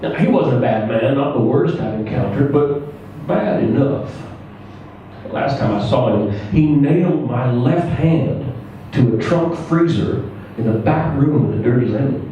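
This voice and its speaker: Slow-paced man reading in an echoey room. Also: mid-range voice, lively intonation, muffled recording. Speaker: story reader. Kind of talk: live dramatic reading of scripted first-person narration. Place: indoor live reading before an audience. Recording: very echoey room, distant mic, faint noise.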